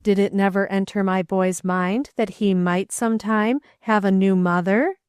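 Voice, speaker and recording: A woman voicing a graying, plain-spoken aunt in a storybook, speaking in a measured and reluctant way. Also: mid-range voice, steady tone, clean tone, no echo, clean studio sound, close to an everyday voice. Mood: gentle. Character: graying aunt, tactful but grim.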